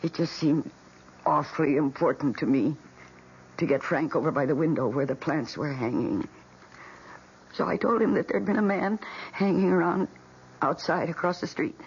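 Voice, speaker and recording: A woman's voice: low-pitched, normal speed, pauses often. A woman with an American accent playing a fragile, obsessive young housewife in a radio drama, with intense, dramatic delivery. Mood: haunted, confessing. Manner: intense, dramatic, breathy emphasis. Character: fragile, obsessive housewife confessing her killer plants' deed. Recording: dull, noisy old radio recording.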